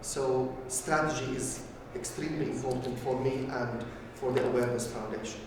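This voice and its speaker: A man from Syria introduces himself as a foundation director, earnest and measured. He sounds distant in a reverberant room. Steady background hiss runs throughout. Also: mid-range voice. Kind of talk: self-introduction. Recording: echoey room from far off with noise.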